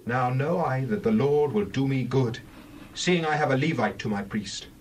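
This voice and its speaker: An actor playing an earnest, formal householder in a dramatized Bible. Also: male voice, medium pitch, moderate pace, lightly acted. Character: Micah, earnest grown son and householder. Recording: distant, faintly noisy recording.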